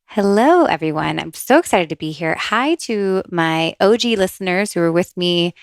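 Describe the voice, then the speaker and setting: A woman's voice: lowish voice, lively intonation, normal speed, no room sound, studio quality. A woman hosting her own podcast in a chatty, upbeat way, often laughing between thoughts. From USA, New Jersey born, raised in Miami. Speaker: podcast host and intuitive reader. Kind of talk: casual solo podcast chat.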